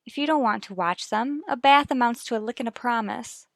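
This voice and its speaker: A woman's voice: on the high side, a little fast, gravelly, no room sound, studio quality. An actress playing an earnest, anxious but determined wife and mother in a 1950s radio soap, formal and firm. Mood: tense, earnest.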